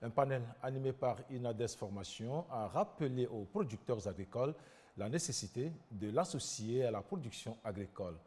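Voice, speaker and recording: A man's voice: medium pitch, animated, normal speed, dark tone, big-room sound. A man presenting the news as an anchor.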